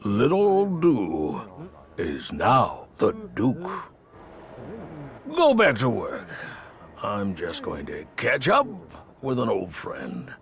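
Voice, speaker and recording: A man voicing a swaggering, smug rat gang boss in a video game, pompous and drawn-out. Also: lowish voice, wildly swooping pitch, full character voice. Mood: smug, commanding. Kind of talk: video game cutscene acting. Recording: echoey, loud machinery noise behind.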